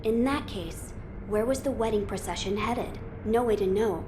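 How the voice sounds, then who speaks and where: A woman voicing a gentle, earnest young boy lord in a game cutscene, formal and pensive in manner. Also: mid-range voice, moderate pace, noisy background, close to an everyday voice. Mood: pensive, curious. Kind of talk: dramatic game cutscene acting.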